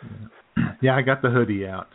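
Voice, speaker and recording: A man's voice: lowish voice, moderate pace, gravelly, breathy edge. A man hosting an internet radio show from a small-town home studio, chatting in a laid-back way and chuckling often. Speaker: host. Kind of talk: casual radio chat. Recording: tinny phone-like line.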